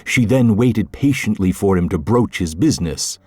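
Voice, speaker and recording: A man reading scripted literary narration for an audio drama in a measured way. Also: on the low side, animated, darkish tone, slightly rough, no room sound.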